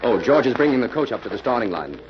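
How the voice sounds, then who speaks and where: A man's voice: medium pitch, very animated, quick pace, a crowd in the background, close to an everyday voice. A man playing a confident, articulate amateur detective in a 1940s radio drama. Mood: assured. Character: assured male amateur detective, a cultivated biochemist. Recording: noisy, muffled old radio recording.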